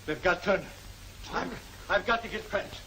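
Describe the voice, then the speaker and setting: Agitated, high-voiced businessman. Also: moderate pace. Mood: alarmed. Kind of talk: 1940s film serial acting. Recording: noisy old film soundtrack.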